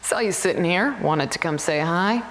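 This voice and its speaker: An actress playing a sociable, teasing, easygoing colleague with a playful, dry manner in a naturalistic audio drama. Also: female voice, lowish voice, expressive, moderate pace, slight background noise. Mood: playful, reassuring.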